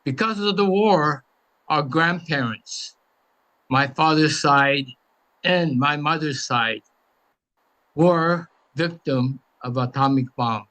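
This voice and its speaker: An elderly man in his eighties speaks at a memorial gathering, slowly and with a voice pitched a little high. He talks in short, halting phrases, pausing often between them. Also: bright, forceful tone, low-quality recording. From United States. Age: 80s. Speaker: guest speaker, veteran. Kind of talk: memorial speech.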